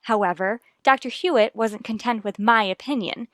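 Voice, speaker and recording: A woman's voice: fairly high pitch, normal speed, a bit bright, no echo, clean studio sound, natural voice. An actress playing an earnest, determined wife and worried mother in a radio soap, speaking in a firm, formal, reasoning way. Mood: earnest, pleading. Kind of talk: radio soap opera acting.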